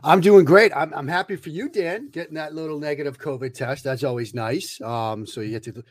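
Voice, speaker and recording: A man's voice: on the high side, brisk, dry recording. A man talking as a guest analyst, laying out points methodically with frequent fillers and self-restarts. His voice is dry and close, with no room sound. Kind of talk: casual podcast conversation.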